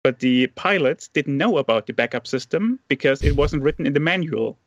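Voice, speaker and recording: A man co-hosting a talk podcast, recounting a story with frequent hesitations and repeated words. His voice is a little high, and it comes through a dull, lo-fi mic with a dry, close sound. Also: lively intonation, fairly quick, no room sound. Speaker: podcast co-host. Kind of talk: casual current-affairs chat.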